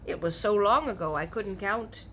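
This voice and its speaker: A woman voicing a very old, blustery but earnest male giant with mangled grammar and drawn-out, emphatic words. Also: medium pitch, a bit bright, a little hiss or hum, lightly acted, rough recording.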